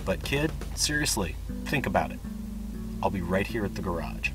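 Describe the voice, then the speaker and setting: Eager, low-voiced mechanic. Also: male voice, big pitch swings, moderate pace, loud music behind the voice. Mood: eager, chummy. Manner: earnest, chummy. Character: eager, chummy middle-aged male car mechanic. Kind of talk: comic game cutscene acting.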